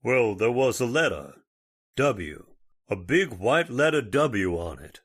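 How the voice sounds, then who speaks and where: A man plays a folksy small-time judge in a vintage radio chiller. He is steady and courteous, with a mid-pitched voice that rises and falls widely. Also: exaggerated voice. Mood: puzzled. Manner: folksy, deliberate, hesitant politeness. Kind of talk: old-time radio horror acting.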